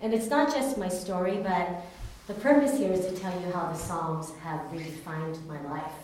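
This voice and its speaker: A woman's voice: on the low side, normal speed, darkish tone. Woman telling her story in an echoey hall. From Taiwan, raised in California. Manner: earnest, candid storytelling. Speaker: speaker giving a personal testimony. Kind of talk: personal testimony storytelling. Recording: very echoey room, distant mic, faint noise.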